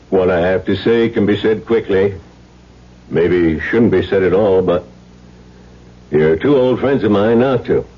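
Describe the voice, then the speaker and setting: Low, husky small-town sheriff. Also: male voice, exaggerated voice. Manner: unhurried, plainspoken, friendly but serious. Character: folksy, steady small-town male sheriff. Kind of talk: old-time radio drama acting. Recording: dull old radio recording.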